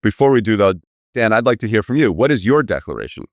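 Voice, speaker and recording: A man's voice: on the low side, big pitch swings, fast talker, no room sound, clean studio sound. A man hosting a business podcast, introducing guests and asking them questions in an easy conversational way. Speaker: podcast host. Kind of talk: conversational interview.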